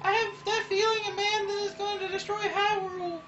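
A woman's voice: very high-pitched, flat delivery, fairly quick, background noise. A woman voicing an earnest, pleading young princess in a crude parody dub of game dialogue. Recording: roomy, distant, dull lo-fi with game music.

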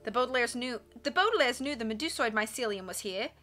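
A woman's voice: fairly high pitch, animated, fast, pushed and bright, soft background music. A woman voicing a deceitful, insistent man who leads an island in a storybook. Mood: accusing. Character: deceitful island leader, man, placating and accusing.

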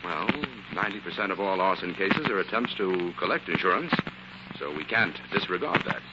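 An analytical scientist crime-fighter acted by a man in a 1940s radio drama, with a fairly low voice. He explains things with assurance. The recording is muffled and heavy with noise. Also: fairly quick, lightly acted. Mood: assured.

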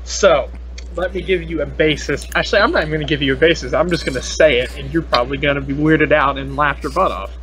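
Young man, slightly high bright voice, unhurried, playful teasing podcast chat, faint noise on an internet call. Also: soft background music. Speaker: podcast host. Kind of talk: casual joking chat. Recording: noisy internet call recording.

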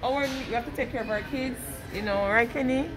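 A woman's voice: on the high side, brisk. A Jamaican woman in casual, chatty conversation while vlogging a shopping trip. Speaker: family vlogger. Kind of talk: casual shopping vlog chat.

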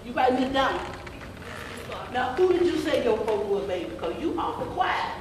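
A woman's voice: sits high, sing-song, normal speed. A woman playing an old field-hand grandmother in a play, folksy, scolding and rambling, with a drawling delivery. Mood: rambling, tired. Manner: folksy, rambling, calls listeners baby. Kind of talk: amateur gospel stage play monologue, storytelling. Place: church sanctuary stage, mid-sized hall. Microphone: camera some metres away. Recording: roomy church hall, distant camera mic, audience noise.

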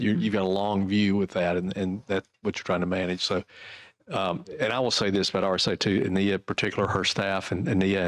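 A man chairing a legislative committee hearing, speaking in a folksy, measured way into a desk microphone in a large committee room. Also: low-pitched.

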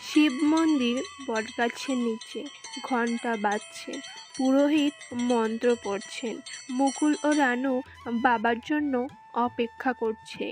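A woman reading scene directions as scripted narration for an animated story. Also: on the high side, takes it slowly. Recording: music behind the voice. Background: music.

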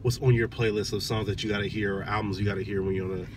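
A man in his thirties talking casually in a parked car, relaxed and chatty with lots of "um"s, recorded on a dashboard camera. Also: on the low side, fast talker, noisy background. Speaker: vlogger, father. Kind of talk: casual vlog chat.

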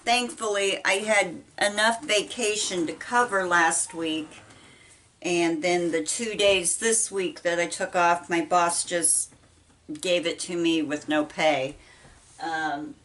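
A middle-aged woman with a Midwestern American accent, chatting casually while she folds laundry, talking haltingly with many ums and restarts. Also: on the low side, steady tone, a bit bright. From United States. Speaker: vlogger. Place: small indoor laundry room. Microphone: camera set apart from her. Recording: voice a bit far from mic.

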